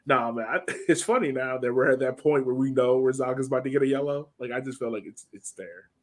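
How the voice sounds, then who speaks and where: A man's voice: medium pitch, fast. Casual, slangy American man chatting. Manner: informal, slangy, conversational. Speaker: sports podcast co-host. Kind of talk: casual soccer match breakdown chat.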